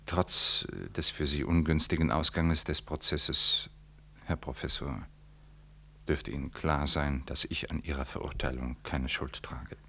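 Very deep-voiced, solemn priest. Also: male voice, creaky, pushed and bright, heavily acted. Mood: solemn, guarded. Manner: deliberate, ceremonious. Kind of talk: German 1960s radio drama acting. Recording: 1960s radio recording, faint noise.

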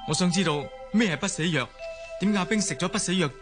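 Earnest young grandson played by an actor, fairly high male voice, radio drama over clear music. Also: quick pace, close to an everyday voice. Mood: defensive.

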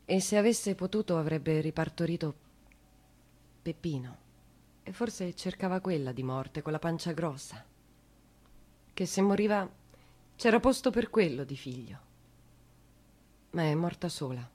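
A woman narrating as dramatic theatre, in halting short phrases. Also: low voice, animated, a little mellow. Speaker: actress and narrator.

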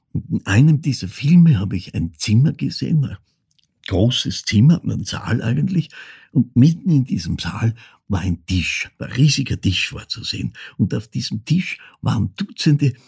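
An actor playing an older man who haltingly recalls a troubled childhood in an intimate radio-play monologue, with a husky, gravelly voice. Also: fairly low pitch, warm tone, no room sound, studio quality, full character voice. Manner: halting, reflective, repeats words, drops to near whisper.

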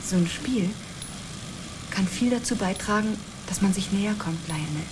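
An actress playing a forward, coaxing, excitable woman space traveller in a radio drama. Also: medium pitch, normal speed, natural voice. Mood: coaxing, chatty. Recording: clearly noisy engine-like ambience behind.

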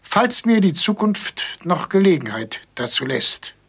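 An actor playing an elderly, hard-of-hearing author who talks in a pompous, rambling, absent-minded way in a radio play. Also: male voice, sits high, very animated, a little slow, creaky, slightly rough, full character voice. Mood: pedantic, flustered. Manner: verbose, pedantic, absent-minded. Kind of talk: German radio play acting.

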